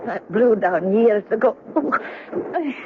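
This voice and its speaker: A woman's voice: on the high side, lively intonation, measured pace. A woman playing an ailing, worried nurse in a radio drama, speaking in a pained, faint, fretful and insistent way. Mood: weak, insistent. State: in pain, faint, moaning. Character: ailing older female nurse, worried about her patient. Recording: muffled, very noisy radio drama recording.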